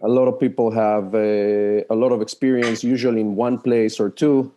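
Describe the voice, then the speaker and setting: Dark, mellow-voiced man from Mexico City. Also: lowish voice, little pitch movement, normal speed, slight background noise. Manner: modest, hesitant. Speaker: podcast guest. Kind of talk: casual interview chat.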